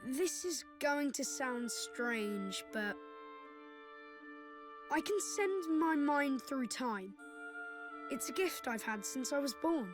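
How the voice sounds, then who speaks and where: Young boy prince, high voice, gentle, earnest, measured, video game drama, music underneath. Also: lively intonation. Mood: earnest, calm. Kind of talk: video game cutscene acting. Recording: orchestral game music behind.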